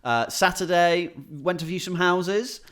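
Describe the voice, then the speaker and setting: A man's voice: high-pitched, measured pace, a bit bright, smooth. A British man in casual comedic chat as a podcast co-host, telling anecdotes, often chuckling and swearing casually as he talks.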